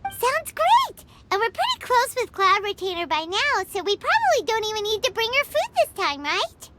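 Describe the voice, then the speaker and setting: A woman voicing a small, perky, childlike fairy companion in a video game, chirpy, squeaky and full of curiosity. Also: wildly swooping pitch, moderate pace, heavy background noise, heavily acted. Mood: cheerful. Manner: speaks of herself in the third person, perky. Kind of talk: video game character acting. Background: music.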